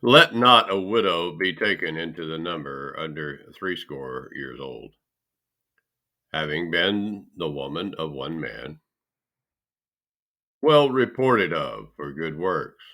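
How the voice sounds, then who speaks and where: A man reading scripture aloud in a solemn style, with emphatic, deliberate phrasing and pauses. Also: a bass voice, expressive, bright, forceful tone. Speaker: scripture reader.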